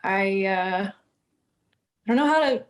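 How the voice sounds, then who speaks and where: Woman music-industry guest, casual and enthusiastic, mid pitch. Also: expressive, slow pace. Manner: enthusiastic, loose with fillers. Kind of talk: casual feedback.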